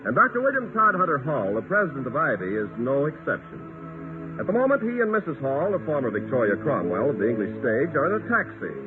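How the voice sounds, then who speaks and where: A man's voice: fairly high pitch, very animated, a little fast. A man working as a radio announcer, giving polished, wry scripted narration in the style of 1950s radio. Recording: tinny 1950 radio recording, organ music.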